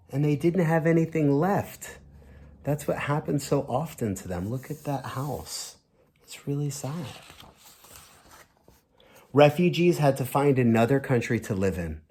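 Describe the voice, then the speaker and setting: A man teacher reading a storybook aloud to young children, expressive and chatting directly to them with simple questions. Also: on the high side, measured pace.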